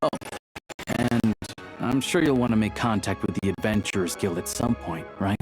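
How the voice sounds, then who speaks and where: A man's voice: on the low side, normal speed, over music, natural voice. A man playing an easygoing hunter and town guide in a video game, speaking in a casual, helpful, matter-of-fact way. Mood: informative, helpful. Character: easygoing young male small-time hunter acting as town guide. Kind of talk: video game character acting.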